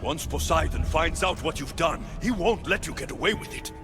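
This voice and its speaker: An actor playing a blunt, firm warrior hero serving as a judge in a video game. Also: male voice, on the high side, moderate pace, close to an everyday voice. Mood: stern. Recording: game soundtrack music underneath.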